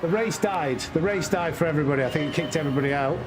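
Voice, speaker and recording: A man with a fairly high voice hosts a casual racing game livestream. He talks himself through his driving, picking at his own mistakes and speaking to viewers. Car engine noise is clearly heard behind him. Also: very fast. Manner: muttering self-critique, addresses himself and viewers. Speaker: live streamer hosting a sim racing stream. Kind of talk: casual live gaming commentary. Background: racing game car engine sounds.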